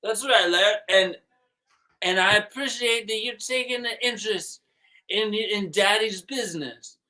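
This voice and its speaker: A man playing a mild, doting father in improvised comedy, halting and placating. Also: high-pitched, bright, forceful tone, full character voice, low-quality recording.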